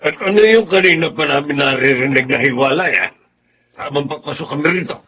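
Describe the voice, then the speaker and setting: A man's voice: fairly high pitch, fairly quick, pushed and bright, a little hiss or hum. An actor playing a stern, reproachful older father in a Tagalog radio drama. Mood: stern, questioning.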